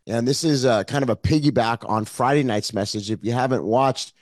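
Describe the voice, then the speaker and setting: A man with a hoarse, froggy voice, preaching an online Sunday sermon as pastor in an earnest, conversational way with frequent fillers. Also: medium pitch, fairly quick, no echo, studio quality.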